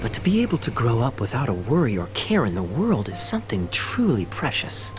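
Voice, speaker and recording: A man's voice: mid-range voice, huge pitch swings, fairly quick, music in the background. A man voicing a suave, easygoing young knight with a sly, playful and casual manner, in video game style.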